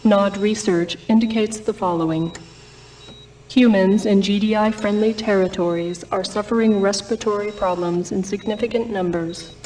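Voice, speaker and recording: A woman's voice: medium pitch, fairly even intonation, moderate pace, a little mellow, natural voice. A slightly distant recording with faint noise carries a woman playing a female briefing announcer for a military game. She is formal and detached, delivering scripted research findings with clinical neutrality.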